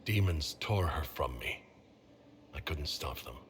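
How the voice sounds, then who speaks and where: An actor playing a resolute, sober military leader in a game cutscene, speaking firmly and deliberately. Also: male voice, rumbling low, animated, slight background noise. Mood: regretful. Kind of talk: video game cutscene drama. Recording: background ambience behind voice.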